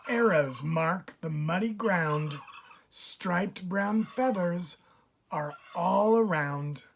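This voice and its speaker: Woman storybook reader, lowish pitch, very slow and expressive delivery for kids, noisy recording with turkey calls. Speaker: picture book reader. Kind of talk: read-aloud of a rhyming children's storybook. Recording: clear background noise, bird calls. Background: turkeys gobbling and clicks.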